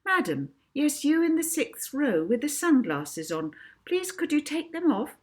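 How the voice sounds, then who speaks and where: A woman's voice: high voice, big pitch swings, a little slow, a little mellow, pitched above the performer's own voice. A woman voicing a confident young girl stage hypnotist in a storybook, theatrical and commanding. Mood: polite, firm.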